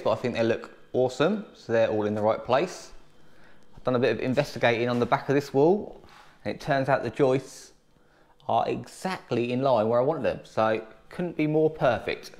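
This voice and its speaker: A man in his thirties with an English accent, giving an enthusiastic casual walkthrough of his home renovation in an empty room, filmed on an action camera. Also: mid-range voice. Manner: enthusiastic, upbeat.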